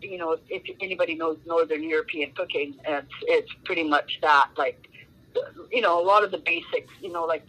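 A woman's voice: lowish voice, sharp tone, low-quality recording. A woman, a guest author chatting in an interview, rambling and full of fillers like um and you know. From Canada. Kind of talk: casual interview chat.

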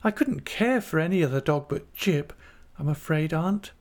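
A man's voice: a little high, animated, moderate pace, voice pushed up. A man voicing a frail, childlike young wife in an audiobook, tender, wistful and plaintive. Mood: faint, pleading.

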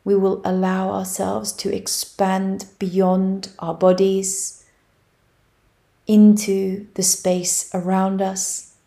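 A woman guiding a yoga nidra meditation, speaking softly and evenly with long pauses. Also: medium pitch. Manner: slow, soft and even, with long pauses. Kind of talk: guided meditation.

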